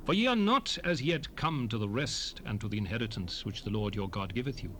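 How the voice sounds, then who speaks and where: An actor playing a solemn, commanding prophet addressing his people in a dramatized scripture reading, with measured, declamatory phrasing. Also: lowish voice, expressive, fairly bright tone. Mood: solemn exhorting. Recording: noisy 1970s cassette rip, ambient background.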